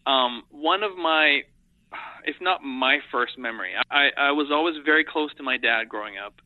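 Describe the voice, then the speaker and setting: A man's voice: on the high side, moderate pace, no echo. Middle-aged man, French native speaker, earnest interview guest; dry, phone-quality line. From Europe and Africa. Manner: earnest, reflective, thinks aloud in long sentences. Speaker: guest, animation editor. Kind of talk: casual interview talk.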